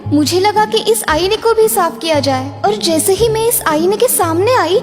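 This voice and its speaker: A timid, innocent servant girl from a children's cartoon fairy tale, played by a woman. Her voice is extremely high, polite and pleading, over clearly heard music. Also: lively intonation, moderate pace. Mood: apologetic, timid. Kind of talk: Hindi children's animated fairy tale acting.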